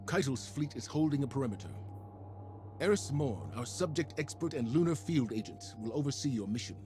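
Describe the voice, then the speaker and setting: A man plays an authoritative military commander from a video game. He delivers a mission briefing in a stern, formal and clipped manner. Music sits softly behind his voice. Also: mid-range voice, very animated, brisk, lightly acted. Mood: stern, businesslike.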